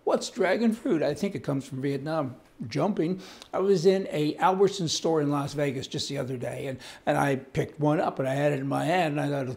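Folksy gravelly older man. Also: fairly high pitch, a little mellow. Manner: folksy, indignant, rambling asides. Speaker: commentary host. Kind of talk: opinionated news commentary to camera. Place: indoor studio set with wood-panel wall.

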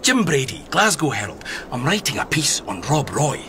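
An actor playing a pushy, wry 1920s newspaper reporter in a radio drama, probing and insinuating. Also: male voice, medium pitch, very animated, normal speed, bright, forceful tone, slight background noise. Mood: needling, amused.

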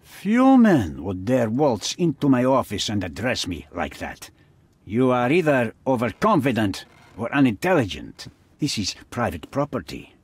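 An actor playing a menacing, haughty middle-aged crime boss with a husky voice, speaking formally and deliberately in a dramatic video game style. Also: male voice, mid-range voice, wildly swooping pitch, no echo, full character voice. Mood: threatening, haughty.

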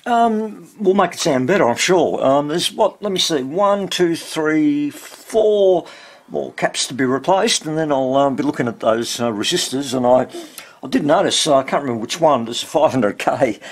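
An older man with a husky voice, giving casual, halting hands-on commentary as he tinkers at an indoor workbench. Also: medium pitch, expressive. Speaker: radio repair hobbyist.

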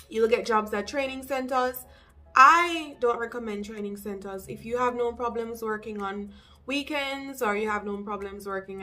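A young woman with a Jamaican accent giving casual, direct advice to camera as an English teacher, with lively asides. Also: a little high. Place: small room indoors.

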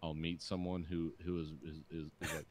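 Deep-voiced podcast host in his forties. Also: flat delivery, normal speed. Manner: rambling storyteller, swears freely, mimics people. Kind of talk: crude casual banter. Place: dim home studio. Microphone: studio mic on boom arm with headphones.

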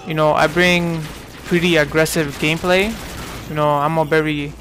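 A man's voice: fairly high pitch, little pitch movement, moderate pace, fairly bright tone, a little hiss or hum. A man in his twenties from New York giving casual, rambling commentary over gameplay, full of fillers and restarts. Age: 20s. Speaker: gaming commentator.

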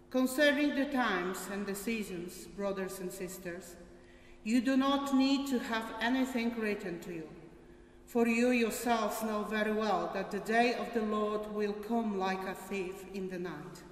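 A woman's voice: mid-range voice, slight background noise. An older woman giving a formal scripture reading at a church service. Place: large stone church, at a lectern. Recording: echoey church, distant mic.

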